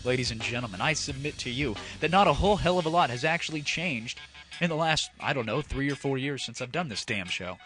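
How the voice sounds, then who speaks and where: A man's voice: mid-range voice, expressive, a little fast, a bit bright. A man hosting a gaming audio show with a sarcastic, theatrical, mock-grandiose comic monologue. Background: music.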